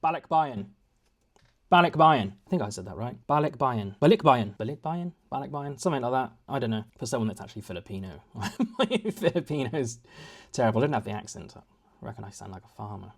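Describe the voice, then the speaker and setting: A man's voice: mid-range voice, sing-song, a little fast, vocal fry. A young man chatting at home in a casual vlog, rambling and self-deprecating with many restarts. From United Kingdom. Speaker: vlogger. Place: home, indoors.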